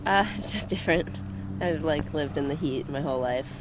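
A young woman from Arizona, a student, answering a beach interview in a soft, quick way. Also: low voice. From Arizona, USA. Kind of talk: casual street interview. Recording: outdoor noise, voice a bit far from mic.

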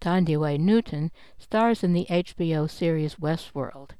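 Crisp, dry scripted narrator. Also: medium pitch, measured pace, warm tone, no room sound.

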